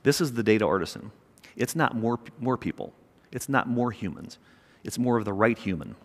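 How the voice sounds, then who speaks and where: A middle-aged man giving an energetic, emphatic keynote speech on a large conference stage, with a small mic. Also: fairly low pitch, moderate pace. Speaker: keynote speaker, company chairman. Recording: long reverb of a large hall.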